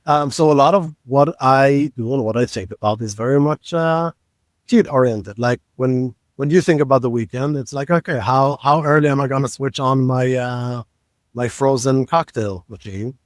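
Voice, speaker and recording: Brief: Israeli man, 30s, podcast co-host, easygoing and halting, mid pitch, dry booth sound. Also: dry recording. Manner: halting, many fillers and restarts, joking. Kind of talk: casual chat.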